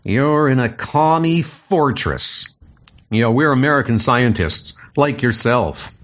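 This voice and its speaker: A man voicing an anxious male scientist held prisoner, explaining quickly and nervously. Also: mid-range voice, expressive, fairly bright tone. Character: worried male kidnapped scientist.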